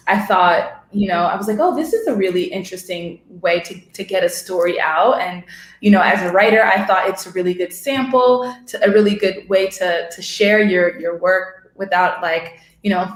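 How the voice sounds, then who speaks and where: A woman writer talking casually in a discussion, reflective and searching for her words. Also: on the low side. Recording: roomy, echoing room sound.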